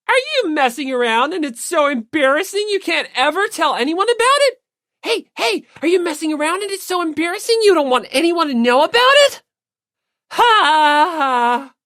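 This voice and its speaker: A wicked little bear headmaster, pitched extremely high with wild swoops. The voice is rough, gleeful and taunting, an exaggerated audiobook villain who delights in teasing. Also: female voice, a bit bright, no room sound, studio quality, full character voice. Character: cute evil two-toned robotic bear headmaster, gleefully teasing.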